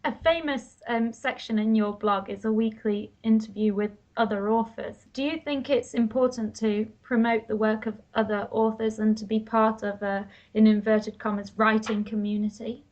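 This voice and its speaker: A woman's voice: on the high side, steady tone, normal speed, hardly any pauses, darkish tone. A woman interviewing a writer on a radio show, polite and attentive. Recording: muffled radio studio audio.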